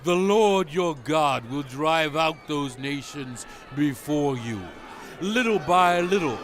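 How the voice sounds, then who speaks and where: A slow, solemn, commanding prophet. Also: male voice, on the high side, lively intonation, a bit bright. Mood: fervent, rousing. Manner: deliberate, emphatic, oratorical. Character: solemn, commanding male prophet addressing his people, stern and fervent. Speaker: dramatized scripture narrator. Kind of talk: dramatized audio Bible oration. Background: music.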